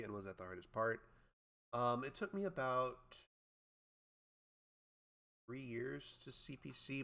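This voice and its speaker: A man with a lowish voice talks casually as he reads and answers viewer messages. He sounds like a working air traffic controller, informal and unscripted, reasoning through things out loud. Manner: informal, thinking aloud, imitates beeps and radio calls.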